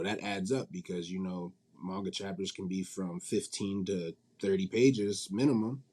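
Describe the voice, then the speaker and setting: Male interview guest, low relaxed voice, casual and colloquial, leans on repetition to make points. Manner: informal, colloquial, emphatic repetition. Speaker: guest, indie manga publisher and company CEO.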